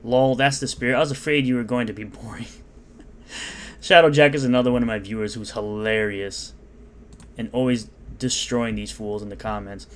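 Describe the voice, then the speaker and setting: A man's voice: mid-range voice, steady tone, moderate pace, a bit bright, slight background noise. A man reading out and mocking comments in an unscripted, sarcastic rant. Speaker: commentary YouTuber.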